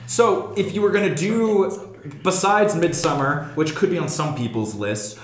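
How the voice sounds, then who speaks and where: A woman's voice: low voice, a little slow, slight background noise. A woman co-hosting a casual podcast discussion, steering the talk with questions and restarts. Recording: echoey, roomy sound.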